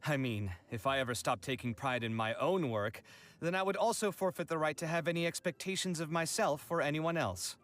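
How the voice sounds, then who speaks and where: A man's voice: on the high side, a little fast, a bit bright, natural voice. A man voicing a proud, refined young architect from a video game, articulate, earnest and self-assured. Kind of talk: video game character voice lines.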